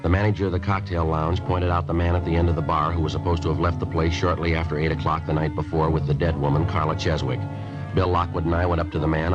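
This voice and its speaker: An American actor playing a terse, deadpan male police detective in an old-time radio crime drama. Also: low voice, close to an everyday voice. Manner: deadpan, matter-of-fact, terse. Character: clipped, unflappable male police detective narrating his case. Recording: dull old radio recording, music behind. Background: music.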